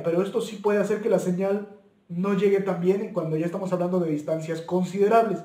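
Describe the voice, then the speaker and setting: A man's voice: sits high, mellow, reverberant. A man hosting an informal video tutorial, explaining things step by step and repeating and rephrasing his points. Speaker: YouTube channel host.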